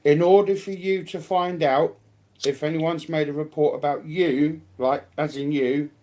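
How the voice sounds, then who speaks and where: Slow-talking man, fairly high voice. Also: steady tone, a little mellow. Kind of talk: casual advisory chat.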